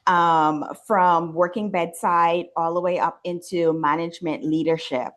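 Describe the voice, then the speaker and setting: A woman's voice: fairly low pitch, steady tone, measured pace. A woman in her forties from the Bahamas, telling her own story conversationally as an interview guest. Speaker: interview guest, wellness business owner and nurse.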